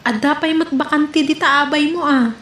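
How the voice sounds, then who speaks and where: A woman's voice: high-pitched, normal speed, fairly bright tone. A woman playing a flirtatious, teasing village neighbour in an Ilocano comedy drama, with an Ilocano accent. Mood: coy, teasing. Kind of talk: comedy radio-drama acting. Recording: echoey room, noticeable background noise.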